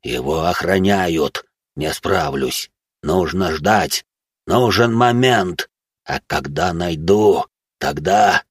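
A man's voice: sits low, lively intonation, slow, husky, dry recording, studio quality, full character voice. A man voicing a huge, raging monster, tormented and impatient, with a growling, straining, groaning delivery. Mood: brooding menace. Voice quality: growling, wheezy.

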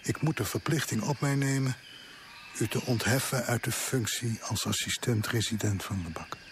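A junior colonial official, acted by a man, anxious and deferential, in a radio play. The pitch is mid-range for a man. Clear ambient noise and animal sounds lie behind him. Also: moderate pace, lightly acted. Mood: formal, subdued. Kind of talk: Dutch radio play acting.

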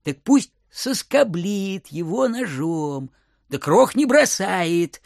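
An actor playing a miserly, suspicious old landowner in an audio drama, muttering and repeating his words in a querulous, husky voice. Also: high voice, big pitch swings, slow, no room sound, studio quality, full character voice. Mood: scolding, bossy. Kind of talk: Russian audio drama acting.

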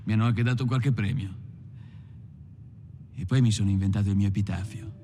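An actor playing a weary, wry freed gangster turned painter, speaking in a halting, measured film monologue. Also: male voice, lowish voice, fairly even intonation, mellow, close to an everyday voice. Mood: reflective. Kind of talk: dramatised first-person film monologue. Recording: music behind the voice.

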